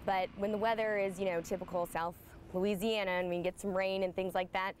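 A young woman reporter asks questions in an upbeat, lively voice. She is recorded on a lapel mic in an open stadium, with a faint outdoor hum behind her. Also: mid-range voice, fairly quick. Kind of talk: sports feature interview. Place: outdoors in a large empty stadium.

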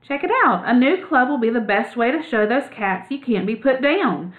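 A woman's voice: fairly high pitch, lively intonation, normal speed, pitched above the performer's own voice, natural voice. Ragweed, a woman voicing a bold eager mouse. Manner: earnest, slangy, pushy. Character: eager young male mouse, bold talker. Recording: tinny phone-like sound.

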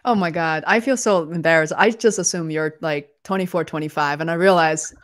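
Woman host on a video call, desk mic, lower voice, lots of mm-hmms, easygoing questions. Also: a little fast. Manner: warm encouraging listener, many "mm-hmm" cues. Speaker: interview host. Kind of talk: casual interview chat. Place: home studio, indoors. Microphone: desk microphone on a video call.

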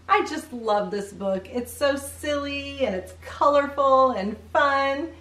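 A middle-aged woman hosting a children's read-aloud, chatting in an animated, sing-song way to young viewers. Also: high voice, roomy sound. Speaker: children's storytime host, grandmother. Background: music.

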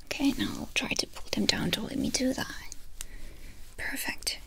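Young female ASMR doctor: whispered, crackly, gentle step-by-step instructions. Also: high voice, lively intonation, moderate pace. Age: young adult. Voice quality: breathy, unvoiced whisper. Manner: gentle, reassuring, softly instructing. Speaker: ASMR performer playing a doctor. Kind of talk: ASMR medical exam roleplay. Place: small indoor room dressed as a clinic. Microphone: close microphone in front of the speaker.

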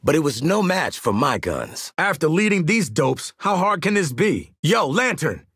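A man's voice: fairly high pitch, very animated, moderate pace, fairly bright tone, breathy edge, no room sound, studio quality, exaggerated voice. An American man playing a cocky, hardened mercenary marksman and squad leader in a video game, brash, sardonic and commanding, with streetwise delivery. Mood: confident, brash. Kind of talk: video game combat barks and banter.

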